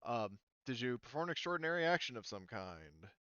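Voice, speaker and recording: A man's voice: medium pitch, brisk, no echo, highs cut off. A man running a tabletop roleplaying game as game master, talking casually and hesitantly with many ums. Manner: relaxed, many ums and restarts.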